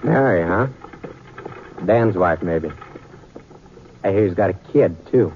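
An actor playing a vengeful, threatening American gunslinger with rough, taunting rural speech in a 1950s Western radio drama. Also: male voice, sits low, takes it slowly. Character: menacing vengeful male gunslinger, coldly threatening. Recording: noisy, narrowband 1950s radio recording.

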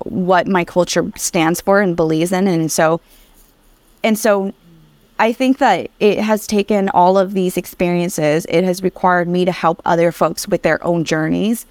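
Low-pitched woman, earnest and reflective. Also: dry recording. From Vietnam. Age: adult. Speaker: interview guest, career coach. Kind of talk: personal, reflective interview talk.

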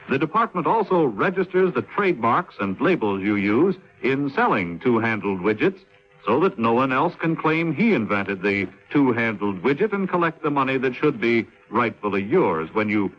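A male radio announcer reading a scripted 1950s public-information piece in a measured, explanatory way. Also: mid-range voice. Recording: dull 1950s radio recording, faint music.

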